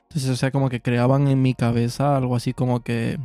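A man's voice: medium pitch, flat delivery, brisk, warm tone, no echo, soft background music. A man hosting a podcast, chatty and joking as he asks his guest questions. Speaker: podcast host. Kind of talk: casual interview chat.

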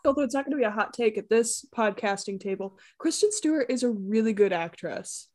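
A young woman co-hosting a casual podcast, giving her opinions clearly and articulately. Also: a little high, expressive, fairly quick, a little mellow. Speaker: podcast co-host. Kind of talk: casual chat.

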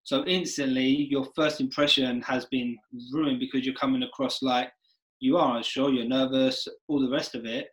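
A man of middle pitch hosts an interview podcast and asks questions earnestly. He leans on key words and slows down or speeds up within a phrase. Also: fairly even intonation, low-quality recording. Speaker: podcast host. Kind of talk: interview chat.

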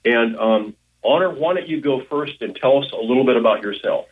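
A man's voice: mid-range voice, a little fast, breathy edge, phone-quality sound. A man hosting a radio talk show from a hotel room, chatting casually with lots of fillers. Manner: friendly, rambling with fillers.

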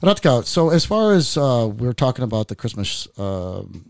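A man's voice: medium pitch, very animated, moderate pace, vocal fry, dry recording. A man from Chicago hosting a casual podcast in a studio, talking in a rambling way with lots of restarts and fillers. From Chicago, United States. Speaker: podcast host.

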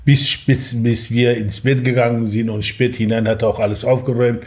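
An older man from Kurdistan in Iran, telling his life story as a guest in a halting, plain-spoken way. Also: mid-range voice, flat delivery. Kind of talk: casual conversation. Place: café terrace in Portugal.

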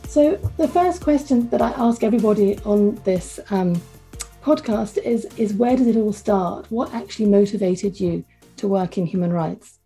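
A woman's voice: medium pitch, moderate pace, dark tone, faint music behind, rough recording. A woman hosting a podcast, introducing her guest and asking interview questions. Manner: reads a scripted guest introduction. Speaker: podcast host.

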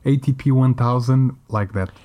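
A man's voice: mid-range voice, moderate pace, a little mellow. A man co-hosting a casual podcast, giving his opinions emphatically but agreeably, with a philosophical turn. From Portugal or another country outside Britain. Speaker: podcast co-host.

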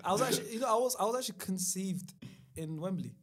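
A man, pitched a touch above the usual. He talks loosely and hesitantly as a podcast guest, circling back with fillers and restarts. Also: lively intonation, fast, a loud crowd. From London, of Somali family. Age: 20s. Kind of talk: casual chat.

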